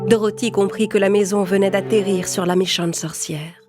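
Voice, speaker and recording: A woman's voice: medium pitch, steady tone, moderate pace, music in the background. A woman reading a scripted children's story as narrator.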